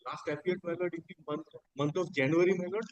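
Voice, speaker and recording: Indian male counsel, rapid halting phrases, deferential, quiet dull courtroom pickup. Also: fairly high pitch, fairly even intonation, no echo. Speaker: lawyer. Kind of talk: formal courtroom mentioning.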